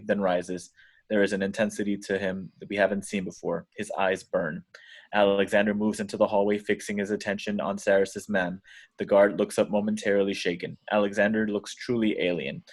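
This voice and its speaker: A man reading screenplay action descriptions as scripted narration at a table read. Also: deep voice. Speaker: stage directions reader.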